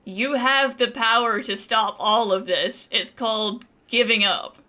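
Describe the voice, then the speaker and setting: A woman's voice: a little high, fairly even intonation, measured pace, sharp tone. A woman giving casual playthrough commentary, playfully bantering and talking back to game characters. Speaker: gaming streamer.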